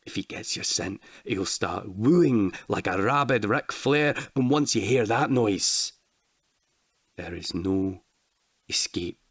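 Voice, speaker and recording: A man's voice: fairly low pitch, lively intonation, fairly bright tone, slightly rough, no echo, clean studio sound, full character voice. A man voicing a frightened old man who tells a dire local legend in an ominous, dramatic storytelling way. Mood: ominous warning. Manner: dramatic, ominous, dwelling slowly on words.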